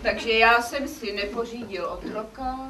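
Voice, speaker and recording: A man's voice: very high-pitched, steady tone, sharp tone, a little hiss or hum. A man playing an indignant master in a comic stage play, scolding his slave in an exasperated, reproachful way. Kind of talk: live comic stage acting. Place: theatre stage. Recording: echoey stage recording from far off.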